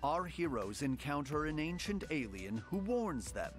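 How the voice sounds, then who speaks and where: An actor playing the grave, dramatic narrator of a heroic sci-fi game adventure, delivering a scripted intro. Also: male voice, fairly high pitch, big pitch swings, a little slow. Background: music.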